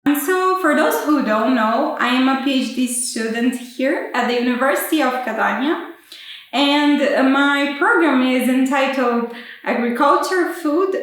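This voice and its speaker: High-voiced Brazilian woman in an echoey room. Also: a bit bright. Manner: careful non-native phrasing with restarts. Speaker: PhD student. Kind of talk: explanatory vlog. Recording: very echoey room, far from mic.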